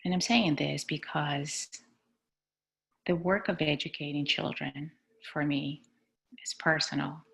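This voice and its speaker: A woman from Chile, a school superintendent, giving an earnest, measured personal talk on a video call. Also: low voice, fairly even intonation, gravelly, a bit bright. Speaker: executive superintendent. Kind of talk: personal speech at a community board meeting.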